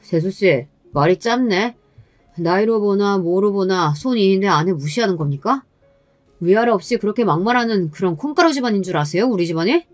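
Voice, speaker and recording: A woman's voice: medium pitch, animated, fairly quick, no room sound, soft background music, lightly acted. A woman voicing a stern, protective husband who speaks in a confrontational, reproachful way in a dramatized story. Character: stern, protective husband rebuking his in-laws.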